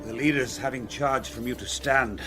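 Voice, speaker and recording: An actor playing a calculating noble lord who serves a prince in a classical radio drama, courtly and formal. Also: male voice, medium pitch, normal speed, over loud music, natural voice. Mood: smooth, deferential.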